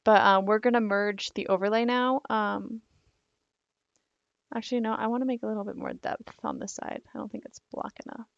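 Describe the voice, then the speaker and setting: A young woman talks through a digital drawing tutorial in a rambling, filler-filled way. Her voice is gravelly and croaky because she is losing it. The recording is dry and a little dull, like a home desk mic. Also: mid-range voice, steady tone, a little fast, no room sound. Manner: rambling, filler-heavy, apologetic. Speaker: digital art tutorial host.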